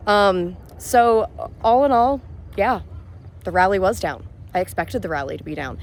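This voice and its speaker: A woman with a hoarse voice, speaking as a rally vendor in a chatty, matter-of-fact way. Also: medium pitch, a little slow, a little hiss or hum. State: hoarse, losing her voice. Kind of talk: casual vlog chat to camera. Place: outdoor campground.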